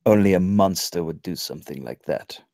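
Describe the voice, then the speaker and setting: A man acts out a serious Cuban crime boss in his sixties at a table read. His voice is low and dry, and he speaks with deliberate, cold menace. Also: darkish tone, no echo. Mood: cold, menacing.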